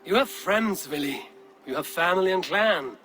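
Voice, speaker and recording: An actor playing a calm, firm male Viking leader in a video game. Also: high voice, normal speed. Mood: caring.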